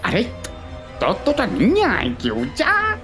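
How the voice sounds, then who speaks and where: An actress playing an urgent, bossy village woman at a wedding in a horror audio drama, calling out in an excited, commanding way. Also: a little high, slow, music in the background, exaggerated voice.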